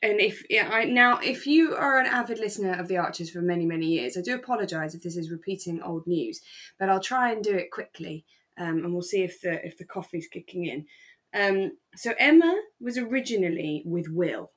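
A woman with a British accent co-hosting a podcast in a relaxed, casual chatting style. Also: lowish voice, a little fast. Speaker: podcast co-host. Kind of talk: relaxed casual chat about a radio drama.

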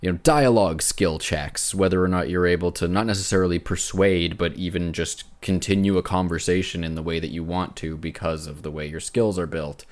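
Very deep-voiced man chatting casually. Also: steady tone, fairly quick, hardly any pauses. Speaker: podcast host. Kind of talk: casual chat about video games.

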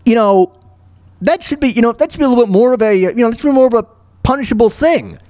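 A man hosting a solo radio show, giving informal, opinionated commentary on the news in a halting, repetitive way. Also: very high voice, expressive, a little mellow, no room sound, studio quality. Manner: informal, halting, repeats words and phrases for emphasis.

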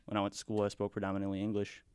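A man's voice: on the low side, completely flat delivery, racing, darkish tone, smooth, dry recording. A young man from the US Midwest who grew up speaking Spanish at home, a guest chatting in a laid-back, rambling way. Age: young adult. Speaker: podcast guest. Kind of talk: casual conversation.

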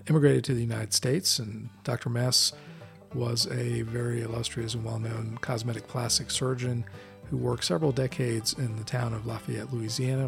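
A man hosting a casual podcast, reading a scripted intro and then asking relaxed questions with fillers. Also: mid-range voice, gravelly. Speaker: podcast host.

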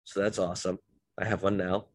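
A man's voice: deep voice, little pitch movement, a little fast, no room sound. A man hosting a podcast, a bit congested from illness, telling rambling self-deprecating stories in a casual chat. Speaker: podcast host.

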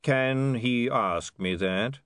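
A man voicing a baffled, testy lord who talks in a slow, pompous, bewildered way in an audiobook. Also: mid-range voice, fairly bright tone, no echo, studio quality. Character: baffled, testy, blustering lord, easily swayed.

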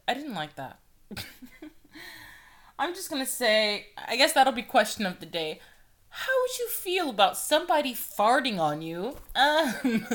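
A woman's voice: on the high side, fairly bright tone. A young woman hosting her own podcast, chatting loosely and profanely and laughing often. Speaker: podcast host. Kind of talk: casual solo podcast monologue.

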